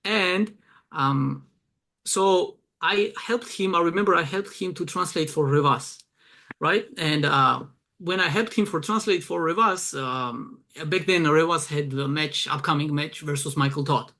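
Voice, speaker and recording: Man, Georgian accent, gravelly, raised voice, halting with fillers. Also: on the high side, bright, forceful tone. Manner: hesitant, many fillers and restarts. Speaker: guest, promotions director. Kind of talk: casual interview chat.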